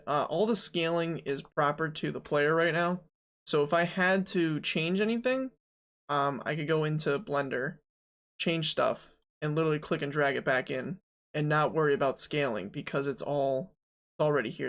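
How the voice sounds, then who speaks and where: A young streamer in their twenties with a gravelly, fry-heavy voice at a middle pitch. They narrate their own work in a loose, joking way, with plenty of ums and asides. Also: fairly bright tone. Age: 20s. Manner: joking, rambling, self-talk with fillers. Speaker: game developer streaming. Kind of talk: casual live dev stream, thinking aloud.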